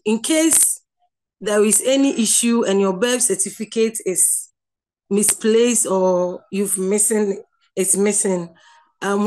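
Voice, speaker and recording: A woman lecturer with a Ghanaian accent talks through slides on a video call. She explains patiently, repeating herself and restarting sentences. Her voice sits at an ordinary middle pitch. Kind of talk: online lecture over slides.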